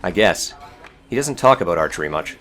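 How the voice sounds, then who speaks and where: A man's voice: deep voice, animated, brisk, a bit bright. A man voicing a tired, curt, sardonic teenage boy in an audiobook drama. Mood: dry. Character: world-weary, sardonic teenage tough boy who smokes. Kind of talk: audiobook character acting.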